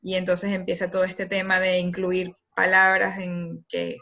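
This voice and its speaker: A woman's voice: on the low side, completely flat delivery, a little slow, vocal fry, pushed and bright, lo-fi sound. A woman with a Venezuelan accent, a copywriter talking as an interview guest in a thoughtful, rambling way with many fillers and restarts. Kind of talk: conversational interview.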